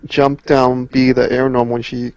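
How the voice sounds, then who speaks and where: A man's voice: medium pitch, little pitch movement, unhurried, darkish tone, no room sound, dull audio. A man in a casual gaming discussion, inquisitive and checking what others mean.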